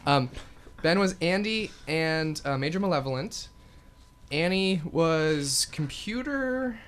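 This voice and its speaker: A man's voice: a little high, expressive, slow, a crowd in the background. A young man hosting a college radio show, chatting casually and rambling a bit. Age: young adult. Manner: friendly, rambling, hesitant. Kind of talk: casual chat.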